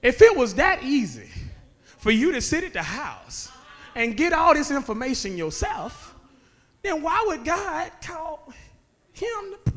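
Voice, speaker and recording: A man, preaching a sermon that moves between joking talk and shouted calls to the men. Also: very high-pitched. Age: 30s. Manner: conversational preaching that builds to shouting, with laughs and rhetorical questions. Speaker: guest preacher. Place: church sanctuary.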